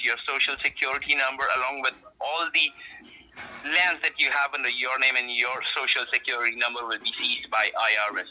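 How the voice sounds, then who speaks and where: Sharp-voiced insistent man on a phone. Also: medium pitch. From Asia. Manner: insistent, threatening, repetitive. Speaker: phone scammer posing as tax agent. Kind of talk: scripted pressure phone call. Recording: tinny, sharp telephone line.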